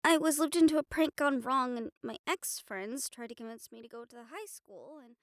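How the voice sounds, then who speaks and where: Actress as bewildered young woman, high-pitched, hesitant, earnest, dry recording, audio drama. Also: moderate pace, dry recording, natural voice. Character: anxious, bewildered young woman new to ghosts. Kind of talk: audio roleplay drama acting.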